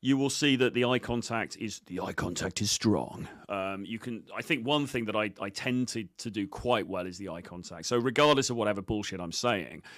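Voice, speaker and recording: A man's voice: on the low side, moderate pace, hardly any pauses, a bit bright, dry recording, studio quality. A man giving informal coaching commentary on video clips, blunt, sweary and self-assured. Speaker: dating coach presenter.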